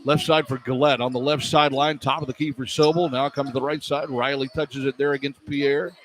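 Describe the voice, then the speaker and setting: A man calling live basketball play-by-play for radio in clipped running descriptions that can rise into excited calls. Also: medium pitch, a little fast. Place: indoor arena at a live game. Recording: live radio broadcast with arena noise. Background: arena noise.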